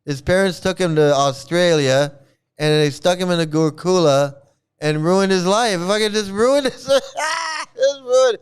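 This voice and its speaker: A man's voice: high voice, unhurried, clear, resonant tone. A man ranting sarcastically as a commentator over video clips, often laughing and repeating his jabs. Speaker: YouTube commentator.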